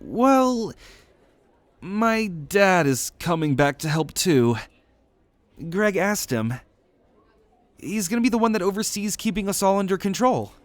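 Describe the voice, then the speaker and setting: Man voicing an earnest, higher-pitched young demigod student. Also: sing-song, measured pace, pauses often, no room sound. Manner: hesitant, earnest, reassuring. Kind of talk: ASMR roleplay audio drama.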